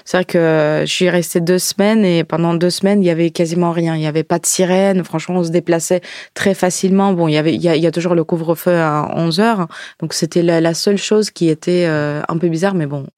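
A woman's voice: lowish voice, fairly even intonation, darkish tone, dry recording. Woman telling her own story, hesitant, searching for words, very dry recording. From Ukraine. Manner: halting, searching for words, reflective. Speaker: podcast guest, diarist. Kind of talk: personal testimony in conversation.

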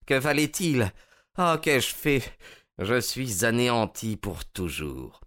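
Un homme jouant un espion allemand amer et vaincu. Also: male voice, on the low side, expressive, fairly bright tone, voice pushed up. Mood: despairing. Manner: slow, resolute, aggrieved. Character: bitter, defeated, indignant German spy, proud man.

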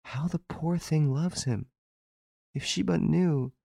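A man voicing an anxious, compassionate woman in an audiobook story, softly and earnestly. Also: fairly low pitch, big pitch swings, normal speed, dry recording, studio quality. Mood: touched, pitying.

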